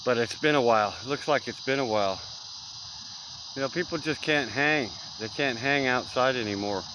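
A man, rambling folksy vlog talk outdoors at dusk, recorded on a close-held phone. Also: mid-range voice. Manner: rambling, folksy, trails off with fillers. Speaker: vlogger. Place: outdoors in dense brush at dusk. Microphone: phone camera held close. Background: crickets, insects and distant traffic.

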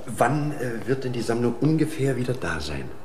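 An actor playing a fussy, hesitant, earnest schoolteacher in a German radio crime drama. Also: male voice, medium pitch, big pitch swings, normal speed, natural voice. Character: fussy schoolteacher haggling on a class outing. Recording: echoey room with clear noise.

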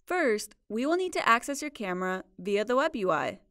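A woman narrating a scripted software tutorial in a measured, instructional way. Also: a little high, very animated, no echo, studio quality. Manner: clear, measured instructional delivery. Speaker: support video narrator.

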